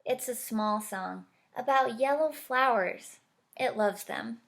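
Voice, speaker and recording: A woman's voice: fairly high pitch, slow, vocal fry, a bit bright, exaggerated voice. A woman voicing a humble, slightly dim female bird who asks small, earnest questions. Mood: wondering.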